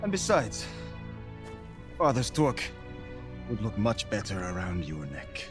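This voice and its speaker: An actor playing a young, vengeful Viking warrior in a game cutscene, given to shouted battle threats. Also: male voice, fairly low pitch, big pitch swings, unhurried, over music. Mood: gentle. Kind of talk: video game cutscene acting.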